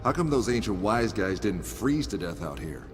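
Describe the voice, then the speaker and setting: Game lead: irreverent American adventurer, quipping and cocky, lively delivery over loud music. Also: male voice, fairly low pitch, moderate pace. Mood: sarcastic. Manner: sarcastic, irreverent. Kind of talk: video game cutscene acting.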